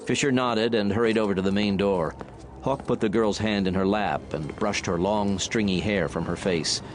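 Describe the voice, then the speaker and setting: A man's voice: fairly low pitch, moderate pace. A man narrating a dramatized audiobook in a scripted, dramatic storytelling style.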